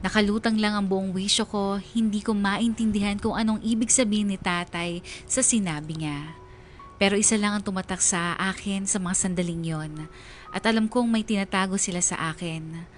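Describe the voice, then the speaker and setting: A woman's voice: medium pitch, slight background noise, natural voice. A young woman narrating as a troubled, confused daughter confiding in a Filipino radio drama. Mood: dejected, confused.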